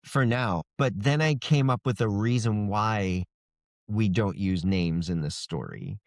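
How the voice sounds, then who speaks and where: A man's voice: fairly low pitch, unhurried, dry recording, studio quality. A man talking casually as an interviewed author, explaining things in a wry, good-humored way. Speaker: fantasy author being interviewed.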